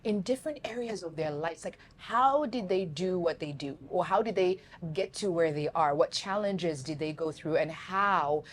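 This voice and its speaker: Lively, laughing woman interview host. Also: fairly low pitch, normal speed, hardly any pauses. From Uganda. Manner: lively, laughing, addresses viewers as family. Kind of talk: casual interview talk.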